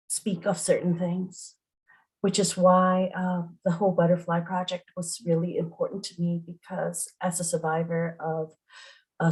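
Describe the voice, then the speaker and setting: A middle-aged woman, speaking earnestly and deliberately as a poet at an open mic. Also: fairly low pitch, a little mellow, rough recording. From Singapore. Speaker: poet and performer. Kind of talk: spoken introduction to open mic poetry. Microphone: online video call at home.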